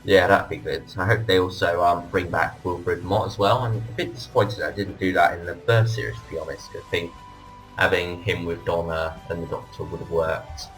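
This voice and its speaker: A man's voice: low voice, fairly even intonation, moderate pace, a bit bright, faint music behind, poor audio. A man hosting a casual fan discussion, with hesitant, hedging phrasing. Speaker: podcast host. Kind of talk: casual fan discussion of audio drama releases.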